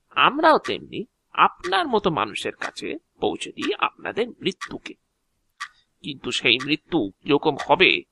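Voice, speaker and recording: A man playing a courteous, bureaucratic agent of Death in an audio drama, speaking in a measured, theatrical way with deliberate pauses. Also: on the high side, vocal fry, sharp tone, slightly rough, no echo, heavily acted. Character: male agent of Death, unhurried, courteous, bureaucratic, faintly amused. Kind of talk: Bengali audio drama acting.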